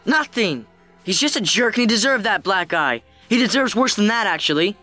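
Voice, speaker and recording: A woman's voice: medium pitch, lively intonation, normal speed, sharp tone, faint music behind. A woman playing a troubled, defensive schoolboy with a sullen manner in an audio drama.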